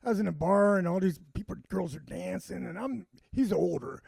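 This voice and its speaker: A man's voice: high voice, brisk, creaky, breathy edge, dry recording, studio quality. A man telling comedy stories in casual chat, acting out what people said. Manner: animated, acts out quotes. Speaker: comedian guest. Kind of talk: casual storytelling.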